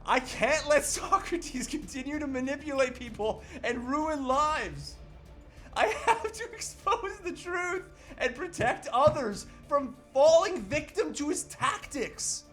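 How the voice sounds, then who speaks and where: Man acting a melodramatic, determined husband, swooping pitch. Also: animated, takes it slowly, fairly bright tone, soft background music, heavily acted. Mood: defiant, melodramatic. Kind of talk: amateur comedy table read.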